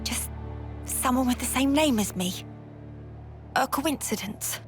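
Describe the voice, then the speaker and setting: A woman's voice: high voice, animated, slow, faint music behind. An actress playing a brash young woman soldier who is uneasy but puts up a front, in a video game cutscene. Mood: uneasy, hesitant.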